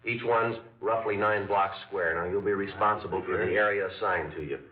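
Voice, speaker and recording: Man playing a steady, clipped police sergeant for an old radio drama, very low voice, thin distant broadcast sound with music. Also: normal speed, reverberant, narrow, tinny audio, natural voice. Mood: briefing. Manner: clipped, matter-of-fact delivery. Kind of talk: 1950s radio drama acting with narration.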